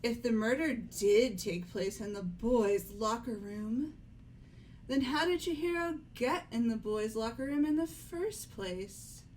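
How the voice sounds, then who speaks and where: A woman playing a composed, doubting young aristocratic girl with measured delivery in an amateur game dub. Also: a little high, animated, a little mellow, a little hiss or hum, rough recording.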